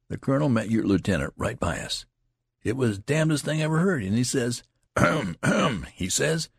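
A man's voice: medium pitch, vocal fry, husky, no echo, clean studio sound, voice pushed up, exaggerated voice. A man voicing an eager young soldier in an audiobook, bursting with news in rustic, repetitive talk. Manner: excitable, rustic ungrammatical talk, emphatic repetition. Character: eager young male soldier breathlessly telling big news.

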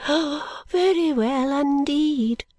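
Woman putting on a fluttery, high old lady. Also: a little mellow, no room sound, clean studio sound. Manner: high, slow, stately and confiding, drops to whispers. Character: fluttery elderly eccentric lady, genteel and nervous.

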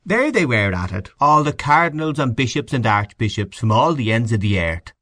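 An authoritative, thick-set Irish gentleman acted by a male reader holds forth in a literary story. His raucous delivery swings widely and dramatically, in a close, dry recording. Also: no room sound, exaggerated voice. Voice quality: deep, raucous. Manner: emphatic, holding forth. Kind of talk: audiobook character acting.

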